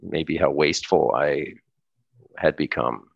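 A man's voice: very deep voice, slow pace, creaky, slightly rough, dry recording, lo-fi sound. An American man, middle-aged, chatting casually as an interview guest, rambling with frequent restarts and laughs. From United States. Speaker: guest musician.

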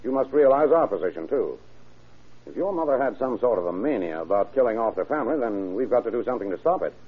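An actor in an old-time radio drama playing a blunt, firm police lieutenant, direct and polite but pressing. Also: male voice, medium pitch, very animated, quick pace, breathy edge. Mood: earnest, persuasive. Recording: muffled, phone-like old radio recording.

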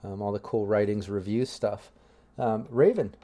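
A man's voice: lowish voice, moderate pace, dark tone. A man hosting a live tabletop game stream, talking casually with many fillers. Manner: chatty, loose, many fillers. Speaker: game master and stream host. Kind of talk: casual livestream chat.

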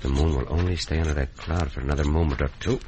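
Very deep-voiced Mexican cowboy hero. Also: male voice, steady tone, quick pace. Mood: composed, watchful. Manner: formal, careful, uncontracted speech. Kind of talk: old-time radio western acting. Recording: noisy old radio recording.

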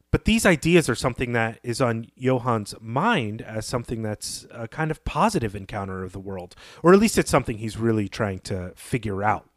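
A man co-hosting a podcast, discussing literature in a measured, analytical and explanatory way. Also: mid-range voice, no echo, clean studio sound. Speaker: podcast co-host.

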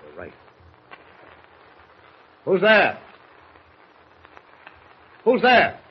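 Blustery newspaper editor, muffled old radio. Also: male voice, high voice, huge pitch swings, extremely slow, breathy edge, heavily acted. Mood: demanding, alarmed. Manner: grumbling, blustery, demanding. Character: gruff, impatient male newspaper editor. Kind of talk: 1940s radio acting. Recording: muffled vintage radio recording.

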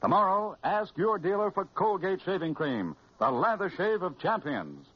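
A man announcing a scripted 1940s radio commercial in a polished, persuasive, slightly husky voice. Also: high voice, very animated, normal speed. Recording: dull, dry old radio recording.

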